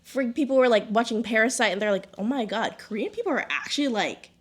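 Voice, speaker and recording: A woman's voice: a little high, very animated, fast, slight background noise. A young woman co-hosting a casual podcast, rambling with constant "like" fillers. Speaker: podcast co-host.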